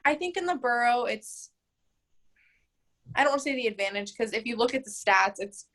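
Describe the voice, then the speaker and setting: A woman's voice: fairly high pitch, expressive, normal speed, a bit bright. A woman in her twenties, a mayoral candidate, answering interview questions in a chatty way full of "like" and "um.". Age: 20s. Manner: chatty, frequent "like" and "um", laughs easily.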